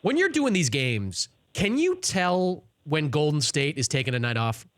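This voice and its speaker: A man's voice: fairly high pitch, sing-song, normal speed, a bit bright, slight background noise. A man hosting a sports radio show, putting questions and comments to a guest in a conversational interview style.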